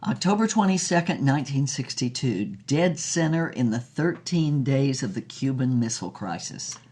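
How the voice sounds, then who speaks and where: An actress playing a worldly old former film star, telling her tales frankly and theatrically in a dramatised interview, with deliberate dramatic pauses. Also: rumbling low, animated, a little mellow. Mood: dramatic, confiding. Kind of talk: dramatised interview, storytelling monologue.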